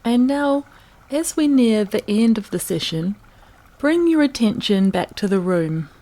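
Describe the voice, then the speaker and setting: A woman narrating a guided meditation in a calm, gentle, unhurried manner, leaving long pauses between phrases. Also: fairly high pitch.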